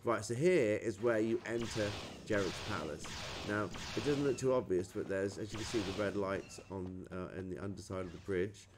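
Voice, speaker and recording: A man's voice: lowish voice, normal speed, hardly any pauses, background noise. A man with a British accent giving a casual, chatty game walkthrough, thinking aloud as he plays. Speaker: gamer recording a playthrough.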